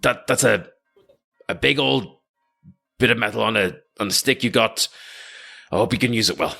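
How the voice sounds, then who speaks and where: A man playing a brisk, excitable guard captain in a tabletop game, giving hurried orders in short, halting bursts. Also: lowish voice, vocal fry, full character voice. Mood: brisk, commanding.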